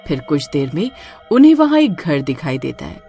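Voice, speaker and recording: A woman's voice: sits low, big pitch swings, normal speed, dark tone, soft background music. A woman narrating a scripted horror story.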